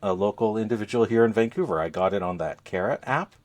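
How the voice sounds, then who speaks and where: A man with a low-pitched voice talks as a podcast co-host in casual conversation. He goes along haltingly, feeling for the right word as he explains. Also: a little mellow. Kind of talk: casual chat about gadgets.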